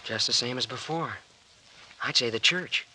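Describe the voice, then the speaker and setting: Stubborn earnest young guy, piercingly bright voice, old radio serial with some hiss. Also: medium pitch, moderate pace. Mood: puzzled. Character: earnest, stubborn young man, protective sweetheart and amateur sleuth. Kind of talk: old-time radio drama acting. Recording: noisy vintage radio recording.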